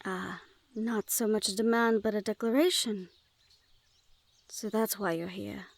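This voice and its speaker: A woman's voice: medium pitch, steady tone, a little slow, close to an everyday voice. A woman playing a wary, teasing Fae border guard in a fantasy audio roleplay, with a playful, sardonic and haughty manner. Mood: wry, resentful.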